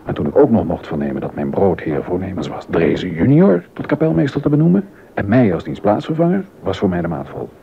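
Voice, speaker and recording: A man's voice: deep voice, big pitch swings, a little fast, gravelly, exaggerated voice. An actor playing a proud, aggrieved German baroque composer and court organist in a Dutch docudrama, indignant and wry as he tells his grievances. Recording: dull sound with background noise.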